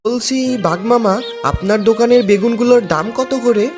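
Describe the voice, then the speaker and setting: A woman's voice: on the high side, a little fast, over music, natural voice. A woman voicing a doting animal father who coaxes and haggles in a children's cartoon. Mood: pleading haggling.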